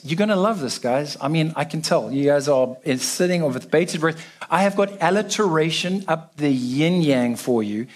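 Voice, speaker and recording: South african man, young, preacher, chatty and self-mocking, slightly high voice. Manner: casual, self-deprecating, chatty with fillers. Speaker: guest preacher. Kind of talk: sermon to a church congregation.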